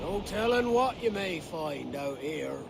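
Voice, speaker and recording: An actor playing a gruff, seasoned male pirate captain in a video game cutscene, talking with dry humor. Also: a little high, lively intonation, a little slow. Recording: loud sea and wind noise.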